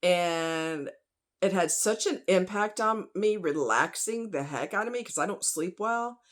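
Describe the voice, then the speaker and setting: A woman's voice: on the low side, measured pace. A woman talking casually in a rambling opinion vlog, conversational and informal, with slang and rhetorical questions. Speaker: vlogger.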